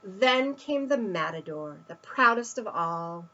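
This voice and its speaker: A woman's voice: medium pitch, expressive, takes it slowly, bright, forceful tone, muffled recording, a little hiss or hum. A woman reading a children's picture book aloud, expressively, with emphatic stresses and asides to young listeners. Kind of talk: read-aloud children's storytelling.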